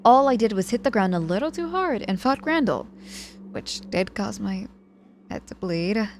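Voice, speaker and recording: A woman acting a proud, guarded young witch hurt in hospital, halting and grudgingly tender, in an intimate ASMR audio roleplay. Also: medium pitch, animated, a little hiss or hum, natural voice. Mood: flustered, insistent.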